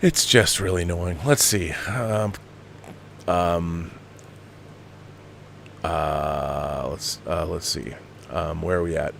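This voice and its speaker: A man hosting a film commentary livestream, talking casually and bluntly in an emphatic, halting, opinionated way, repeating himself for emphasis. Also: low voice, gravelly, a little hiss or hum. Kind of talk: casual opinionated rant, answering viewer comments.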